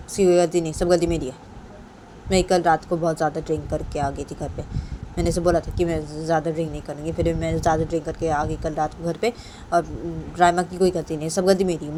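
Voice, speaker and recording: A woman's voice: deep voice, flat delivery, fast, warm tone, slight background noise, close to an everyday voice, poor audio. A woman voicing a defiant, protective young woman in a story, insistent and repeating her points. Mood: protective, remorseful. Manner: insistent, repetitive.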